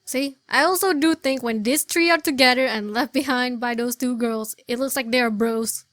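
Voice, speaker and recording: High-voiced woman casually reacting to a game. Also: normal speed, a bit bright, dry recording, clean studio sound. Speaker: gamer commenting on a playthrough. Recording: game music playing underneath.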